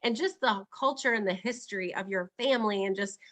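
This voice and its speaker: A woman's voice: mid-range voice, a little fast, fairly bright tone. A woman hosting a casual online chat, laughing often and encouraging her guest over a video call. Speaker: host.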